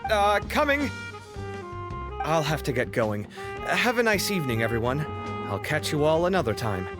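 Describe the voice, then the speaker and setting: A man playing a courteous, friendly young gentleman in a video game, expressive. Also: fairly high pitch, fairly quick. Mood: hurried, cheery. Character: polite, friendly young gentleman, former student. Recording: game music underneath.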